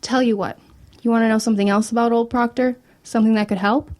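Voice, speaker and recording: A wry teenage girl, played by a woman in an audio drama. She has a slightly high voice and talks in a casual, dry way, like a guarded but protective older sister. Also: fairly even intonation, quick pace, a little mellow, lightly acted. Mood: reassuring.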